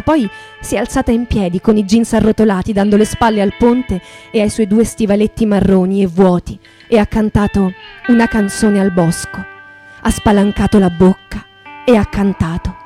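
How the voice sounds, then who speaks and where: A woman performing a dramatic literary recitation in radio theatre, speaking in a poetic, deliberate, litany-like way. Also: on the high side, mellow. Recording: voice over acoustic guitar music. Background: acoustic guitar.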